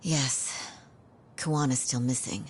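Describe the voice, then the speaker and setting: An actress playing a serious, measured, reflective professional woman in a video game cutscene. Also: extremely low pitch, fairly even intonation, slight background noise. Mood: sober. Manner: sober, reflective.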